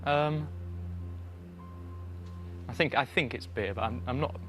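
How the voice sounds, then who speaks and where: A man answers a quiz question in an unsure, self-deprecating way, at an ordinary pitch. Faint music plays behind him. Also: slow pace, a bit bright. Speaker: quiz team member.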